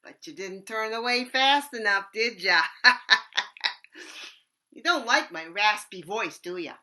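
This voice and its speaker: An actress performing a stage monologue as an eccentric bag lady with a raspy voice, talking loudly and cackling, then dropping to hushed asides. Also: mid-range voice, lively intonation, unhurried. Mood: brash. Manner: loud, cackling, swings between shouting and hushed asides.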